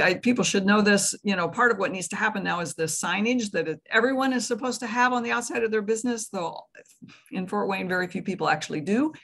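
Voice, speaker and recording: A hoarse woman gives an explanatory presentation over a video call. Her voice is gravelly and husky, and she talks conversationally with restarts. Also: on the low side, a bit bright. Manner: conversational and explanatory, with fillers and self-corrections. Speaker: tobacco prevention director presenting. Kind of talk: presentation at an online meeting.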